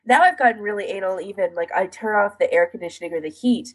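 A woman chats casually as a podcast co-host, walking through things in detail with an informal, meandering flow. Her voice sits at a medium pitch and has a husky, slightly breathy grain. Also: fast talker, rough recording. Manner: chatty, explains in detail with frequent 'like'.

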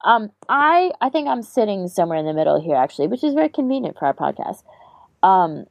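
A woman's voice: on the low side, a little mellow, no echo. A woman co-hosting a podcast in a casual discussion, thinking aloud in an informal way. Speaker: podcast co-host.

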